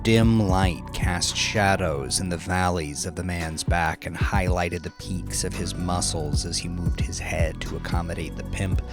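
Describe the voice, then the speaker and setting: A deep-voiced man narrates dramatic crime fiction at a slow, deliberate pace. Music plays prominently behind his scripted storytelling. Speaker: audiobook narrator.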